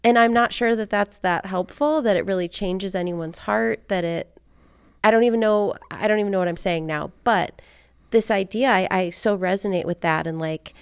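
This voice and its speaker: Woman podcast host, crisp voice w some vocal fry, rambly and candid, says like a lot. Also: mid-range voice, moderate pace, no echo, studio quality. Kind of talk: casual personal chat.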